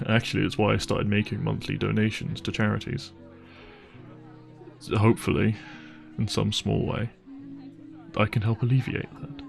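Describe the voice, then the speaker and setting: A man acts out a devoted, apologetic boyfriend in an intimate audio roleplay. His voice is low with a gravelly creak, and he speaks tenderly and sincerely, phrase by careful phrase, over faint music. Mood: regretful, pleading. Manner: earnest, tender, hesitant phrasing with sighs. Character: apologetic, devoted, secretly wealthy boyfriend on a dinner date. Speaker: voice actor.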